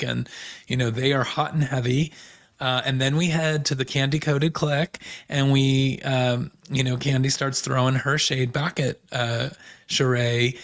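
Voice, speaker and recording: Hoarse guy doing a gossipy tv recap, kinda croaky, just chatting away. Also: medium pitch. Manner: chatty, gossipy, fast asides. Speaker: podcast host. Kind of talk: casual pop culture recap.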